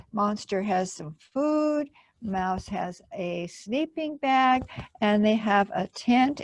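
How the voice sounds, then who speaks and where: A woman's voice: mid-range voice, no echo. A woman hosting a children's storytime, reading a picture book aloud expressively and explaining it simply to young listeners. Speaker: library storytime host and reader.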